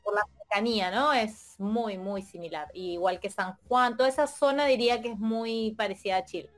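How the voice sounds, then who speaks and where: A Spanish teacher from Argentina, chatting casually in an interview and thinking aloud with many fillers and restarts. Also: female voice, mid-range voice, moderate pace, soft background music. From Argentina, Mendoza. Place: lives in Europe.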